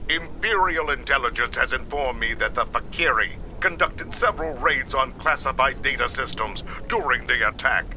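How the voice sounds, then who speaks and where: An actor playing a stern, commanding Klingon chancellor in a video game, grave and dramatic, with faint noise behind. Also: male voice, mid-range voice, normal speed, few pauses, lightly acted.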